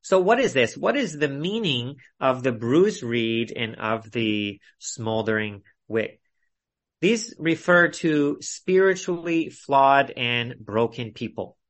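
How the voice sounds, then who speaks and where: A man teaching an expository Bible study in an earnest, instructive way with measured phrasing, speaking over an online video call. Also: mid-range voice, animated, a bit bright.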